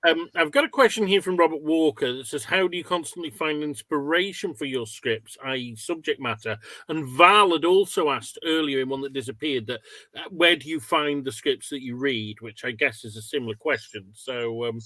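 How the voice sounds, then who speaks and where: A man's voice: on the high side, moderate pace, bright, forceful tone, no echo, poor audio. A man hosting informally and reading out questions from an audience.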